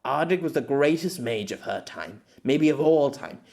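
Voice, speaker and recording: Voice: mid-range voice, animated, moderate pace, gravelly, darkish tone. A performer voicing an exasperated, sceptical male storyteller with a fussy, formal way of talking in an audiobook. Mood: testy. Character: exasperated, sceptical storyteller man, feeling old.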